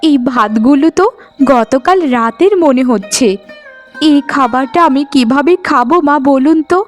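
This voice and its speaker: A woman's voice: sits high, moderate pace, soft background music, close to an everyday voice. A woman voicing a meek, mistreated young stepdaughter in a cartoon, plaintive and pleading. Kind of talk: melodramatic Bengali cartoon dialogue.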